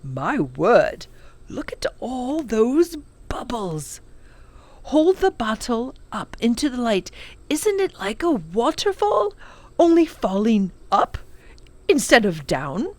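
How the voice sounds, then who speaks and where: A woman's voice: fairly high pitch, sing-song, slow pace, voice pushed up, heavily acted. A woman voicing a kindly, learned elderly male professor in a children's storybook, exclaiming and savouring long words. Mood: excited, playful. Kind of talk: children's storybook character reading.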